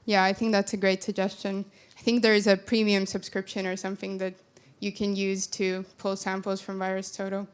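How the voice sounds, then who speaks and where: A woman's voice: mid-range voice, completely flat delivery, a little fast, smooth. A young woman from Finland answering audience questions after her conference talk, speaking into a handheld microphone in a large hall.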